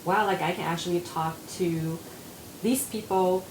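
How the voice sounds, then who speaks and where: A woman's voice: lowish voice, fairly even intonation, measured pace. Young Taiwanese woman counselor, earnest. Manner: earnest, with many fillers. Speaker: mental health counselor. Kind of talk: casual panel discussion. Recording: echoey room with audible noise.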